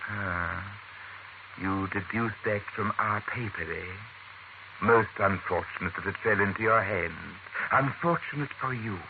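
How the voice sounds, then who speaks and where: A low-voiced, ominous male villain leads secret alien infiltrators. He speaks with measured, smug condescension. It is old radio drama on a narrow, hissy recording. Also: vocal fry. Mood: smug menace.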